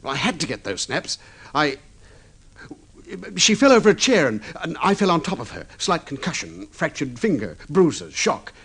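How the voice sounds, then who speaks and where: A man's voice: on the high side, big pitch swings, normal speed, a bit bright, breathy edge, heavily acted. An actor playing an agitated, guilty husband in an old radio drama, pleading earnestly and stumbling over his words. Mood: flustered. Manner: earnest, flustered, hesitant and repeating himself. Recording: slightly muffled vintage radio drama recording.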